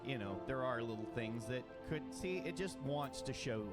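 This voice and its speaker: A man's voice: medium pitch, lively intonation, normal speed. A man chatting casually and jokingly as he plays through a video game. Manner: playful, joking. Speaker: gaming streamer. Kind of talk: casual playthrough chat. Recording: music behind the voice.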